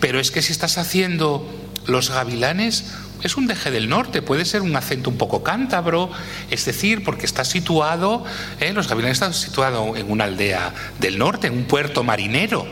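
A man speaking as an expert guest in an interview, explaining at length in an animated way and often tagging phrases with a questioning "eh". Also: a little high, normal speed. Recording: echoey hall with faint noise.

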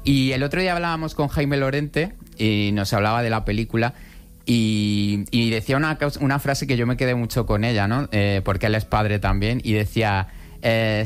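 A man's voice: mid-range voice, smooth. A man working as a film critic on a radio show, talking conversationally about films.

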